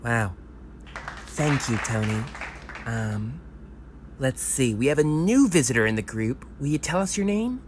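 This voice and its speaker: A man acts out the patient leader of a support group, polite and a little flustered. He moderates with halting fillers, and his normal-pitched voice swings up and down a lot. It is a homemade audio play with a faint hiss in the background. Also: animated, some chatter behind, lightly acted. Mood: polite puzzlement. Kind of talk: amateur audio play acting.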